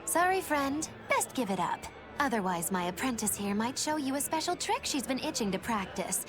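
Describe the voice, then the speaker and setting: A theatrical young female magician, high in pitch, with mocking airs. She speaks grandly and slyly, in anime game style, over light music. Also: very animated, moderate pace. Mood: haughty, sly. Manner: grandiose, teasing, sardonic.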